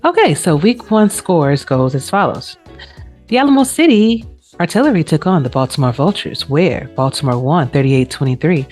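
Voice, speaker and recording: A woman's voice: low-pitched, a little mellow. A woman hosting a casual sports recap show, talking in a chatty, informal way. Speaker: show host.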